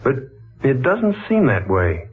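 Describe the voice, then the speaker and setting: Husky, earnest puzzled houseguest, old radio. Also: male voice, mid-range voice, huge pitch swings, full character voice. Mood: wondering, uneasy. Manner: earnest, halting, dramatic. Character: puzzled, polite male houseguest haunted by familiarity. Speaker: radio drama actor. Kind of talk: 1940s radio acting. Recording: muffled phone-like 1940s radio recording, faint music.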